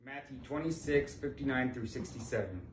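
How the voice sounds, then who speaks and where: A man's voice: mid-range voice, normal speed. Man reading scripture, echoey and distant. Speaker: church member reading scripture. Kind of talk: solemn scripture reading at a church service. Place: large indoor church, distant mic. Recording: echoey church from far off, faint noise.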